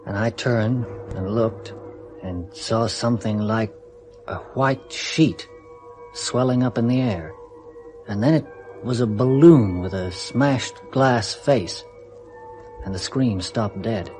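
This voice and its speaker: A man's voice: on the low side, takes it slowly, soft background music. A man playing a detached, unemotional astronaut in a 1970s radio drama. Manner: flat, remote. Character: detached, cool male astronaut.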